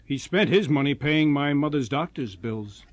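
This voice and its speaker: An actor playing an easygoing, slightly hesitant younger cousin in a radio drama. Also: male voice, a little high, animated, normal speed. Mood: easygoing, explaining.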